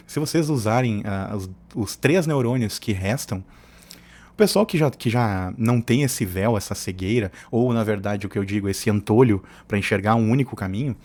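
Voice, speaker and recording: A man's voice: fairly low pitch, darkish tone. Man giving opinions. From southern Brazil. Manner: opinionated, digressive, colloquial. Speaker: host of an opinion channel. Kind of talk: informal political commentary.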